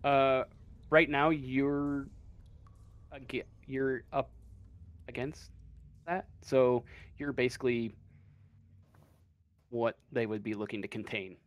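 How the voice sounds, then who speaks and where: A man's voice: mid-range voice, steady tone, takes it slowly, pauses often, no room sound. A man improvising narration as game master of a tabletop game, hesitant and often searching for words.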